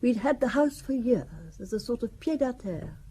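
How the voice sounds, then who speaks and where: A woman's voice: on the high side, lively intonation, moderate pace, dark tone, slight background noise, lightly acted. An actress playing a wealthy older widow who talks about her family in a wry, confiding, gossipy way, in a radio drama. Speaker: Valentine Besson.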